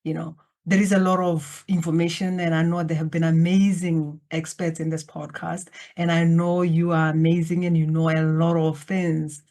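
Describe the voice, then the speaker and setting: A woman from Botswana, a native Setswana speaker, speaking as a podcast guest in a slow, calm, reflective way that addresses the listeners directly. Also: low voice. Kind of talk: guided reflective invitation. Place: remote, in Germany.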